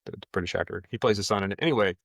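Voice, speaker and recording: A man hosting a casual podcast, talking in a relaxed, rambling way and swearing now and then. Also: on the low side, rapid-fire, creaky, no room sound, studio quality. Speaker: podcast host. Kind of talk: casual chat.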